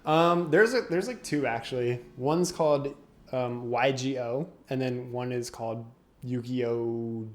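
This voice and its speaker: A man's voice: medium pitch, unhurried. A young man co-hosting a podcast, chatting casually, laughing often and explaining game rules. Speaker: podcast co-host. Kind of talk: casual chat.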